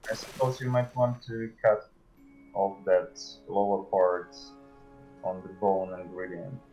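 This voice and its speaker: Deep voice guy, game dev guest on a stream, casual explaining, kinda hesitant. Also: level tone, takes it slowly, dark tone, faint music behind, low-quality recording. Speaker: game developer, livestream guest.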